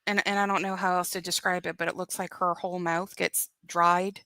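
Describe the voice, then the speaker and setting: A woman guest in a casual commentary chat, animated and giggly. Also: fairly low pitch, a little fast, dry recording.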